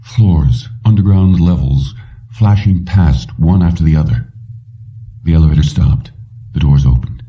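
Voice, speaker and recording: A man with a very low voice narrates an audiobook in measured, dramatic phrases. The recording is slightly muffled and sounds a little away from the mic. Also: far from the mic. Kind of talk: scripted narration of a suspense story.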